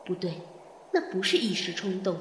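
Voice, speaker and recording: An echoing, reverb-soaked inner voice of a calculating woman played by an actress in an audio drama. She broods and questions deliberately, with animated swings of pitch and faint noise behind. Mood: suspicious, analytical. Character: calculating, suspicious woman reasoning through a murder in thought. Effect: reverb marking inner thoughts.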